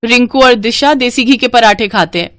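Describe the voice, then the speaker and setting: A woman voicing a sharp-tongued, sarcastic sister-in-law in a cartoon. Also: on the high side, moderate pace, no echo, voice pushed up, close to an everyday voice. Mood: scolding. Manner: taunting, bossy.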